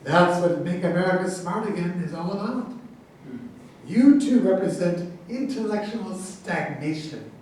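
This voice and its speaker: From far off in a very echoey, noisy space comes a man acting a blustering president. He is high-voiced and boastful, dismissing critics as he defends his absurd ideas in a stage satire. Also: animated, measured pace, darkish tone. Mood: boastful, defensive. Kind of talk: staged reading of a satirical play. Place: echoey room, far from the microphone. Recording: very echoey, distant, noisy hall recording.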